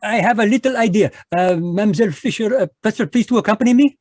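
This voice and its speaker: A man's voice: high-pitched, fast talker, a little mellow, dry recording, clean studio sound. A man playing a fussy Belgian detective with a French-flavored accent and formal phrasing, in a live radio play over video call. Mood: commanding. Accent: French-flavored English, put on. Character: fussy Belgian male detective, precise, commanding.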